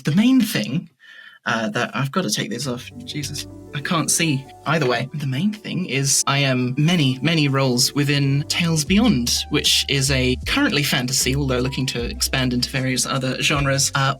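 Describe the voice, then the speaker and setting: A woman's voice: rumbling low, fairly bright tone. A woman giving a casual interview as a podcast guest, candid and wry in her way of talking. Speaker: podcast guest, voice actor and audio drama creator.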